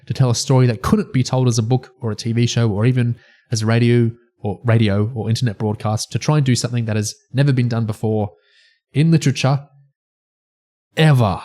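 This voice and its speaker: Halting man discussing on a podcast. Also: mid-range voice, fairly even intonation, a little mellow. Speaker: podcast co-host.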